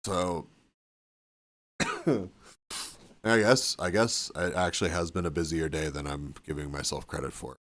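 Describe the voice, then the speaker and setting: A man with a deep, low voice talks loosely to his live stream chat, rambling and trailing off. He sounds sniffly and a little hoarse, like he's losing his voice. The sound is dry and close. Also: steady tone, a little slow, long pauses, a bit bright, no echo. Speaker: livestreamer. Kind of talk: casual chat with stream viewers. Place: cabin room.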